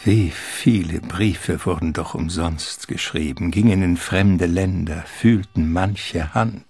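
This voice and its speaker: A man narrating a literary radio play in a measured, ornate storytelling manner. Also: deep voice, a little mellow, soft background music.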